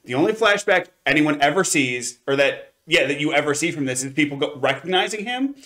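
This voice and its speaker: A man's voice: a little high, expressive, fairly quick, bright, forceful tone. A man co-hosting a review show, talking casually in a rambling, analytical way and qualifying his points. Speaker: co-host of an anime review show. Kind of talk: casual chat, review commentary.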